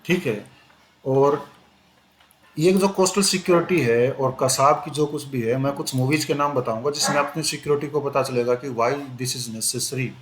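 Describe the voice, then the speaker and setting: A male teacher giving a conversational exam-prep lecture, switching between Hindi and English and repeating "okay" as a filler. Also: mid-range voice. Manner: conversational, repeats "ठीक है" often, switches into slow English phrases. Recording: echoey room with faint ambient noise.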